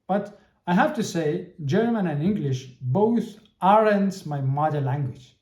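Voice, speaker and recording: Halting man in an echoey room. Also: high-pitched, dark tone. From Iran. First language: Persian. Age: 30s. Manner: halting, searching for words, frequent restarts. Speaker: podcast host. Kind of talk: solo podcast monologue.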